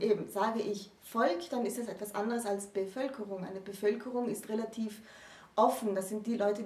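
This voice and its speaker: A woman in her thirties explaining literature in an articulate, emphatic way, in deliberate phrases. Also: fairly high pitch, warm tone. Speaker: presenter of a literature explainer series. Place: small indoor room with bookshelves. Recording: roomy, distant microphone.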